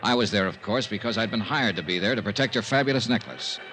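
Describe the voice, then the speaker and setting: An actor playing a hard-boiled, dryly wisecracking investigator in an old-time radio mystery. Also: male voice, lowish voice, fast talker, fairly bright tone, a little hiss or hum, close to an everyday voice. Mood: wry, brooding. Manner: dry, wisecracking. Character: wry, hard-boiled male investigator narrating his case. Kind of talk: 1950s radio detective acting and narration.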